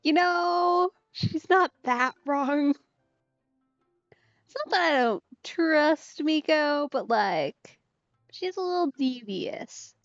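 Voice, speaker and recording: Unhurried woman streamer, slightly high voice. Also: expressive, dry recording, highs cut off. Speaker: live streamer playing a game. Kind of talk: casual game commentary. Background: faint game music.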